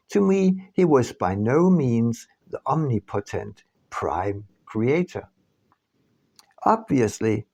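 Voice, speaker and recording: A man reading his own book aloud as a scripted narration, with measured, deliberate phrasing and dramatic pauses. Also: mid-range voice, gravelly, dark tone. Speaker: author reading own audiobook.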